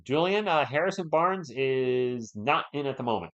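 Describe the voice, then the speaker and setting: Hoarse man calling a game live. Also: medium pitch, lively intonation, normal speed, a bit bright. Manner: chatty, reads and answers viewer chat between plays. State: sore throat, losing his voice. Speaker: live play-by-play streamer. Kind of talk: casual live play-by-play with chat. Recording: dull lo-fi stream audio.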